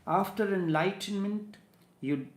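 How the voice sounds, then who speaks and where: A man from India with an Indian accent and Hindi as his first language, giving a slow, halting spiritual discourse as a teacher. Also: high-pitched, roomy sound.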